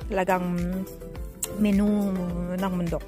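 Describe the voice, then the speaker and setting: Female Filipino vlogger, slow and chatty delivery, mid-range pitch, loud music underneath. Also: level tone. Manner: chatty, unscripted. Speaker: travel vlogger. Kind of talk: casual vlog narration.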